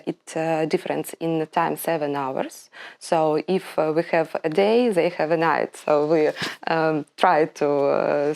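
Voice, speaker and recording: A woman with a Ukrainian accent whose low, mellow voice carries a gravelly edge. She answers interview questions in hesitant English, pausing often to search for words. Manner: halting English, many filler pauses. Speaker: interview guest, mother. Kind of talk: interview answers in a second language.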